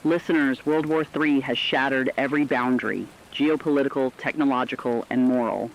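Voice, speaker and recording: Guy doing serious radio war reporter, mid pitch, emphatic, muffled lofi sound. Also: brisk, gravelly, lightly acted. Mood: grave, resolute. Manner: grave, formal, emphatic. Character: urgent male radio war correspondent reporting grim news. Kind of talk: scripted dramatic news report. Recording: dull lo-fi radio sound, faint rain.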